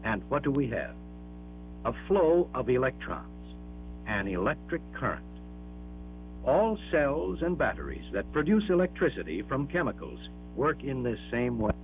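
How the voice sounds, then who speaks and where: A man's voice: medium pitch, big pitch swings, soft background music. Man narrating a dull-sounding 1960s classroom film. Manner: measured, explanatory, emphatic, with rhetorical questions.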